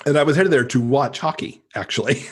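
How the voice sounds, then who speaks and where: A man's voice: medium pitch, a little fast. A man from the United States, a podcast guest telling stories casually and enthusiastically. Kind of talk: casual conversational storytelling.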